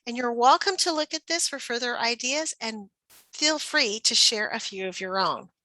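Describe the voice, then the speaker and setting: A woman's voice: a little high, normal speed, pushed and bright, no room sound, low-quality recording. A woman giving an instructional presentation for educators, often posing questions as if to young children. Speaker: teacher trainer presenting a workshop.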